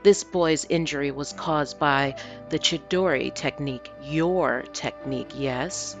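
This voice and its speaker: A cold, menacing demon fox , voiced by a woman reading a story, speaks low and deliberately. It commands and taunts over faint music. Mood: stern interrogation.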